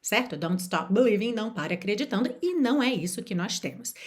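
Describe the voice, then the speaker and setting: A woman from Rio de Janeiro, teaching an online video lesson and talking straight to the viewer. She slows right down to model example phrases and repeat them. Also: mid-range voice, animated. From Rio de Janeiro, Brazil. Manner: encouraging, speaks straight to the viewer. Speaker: language teacher.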